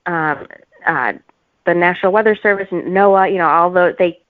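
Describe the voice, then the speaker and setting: A woman talking as an author guest in a casual interview, with an informal, halting, giggly manner. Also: lowish voice, no room sound, phone-quality sound.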